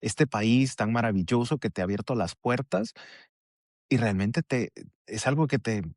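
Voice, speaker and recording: A man's voice: mid-range voice, normal speed, a little mellow, no room sound, clean studio sound. A man hosting a friendly podcast interview, talking in a reflective, conversational way and often giving short affirmations. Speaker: podcast host. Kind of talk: casual interview chat.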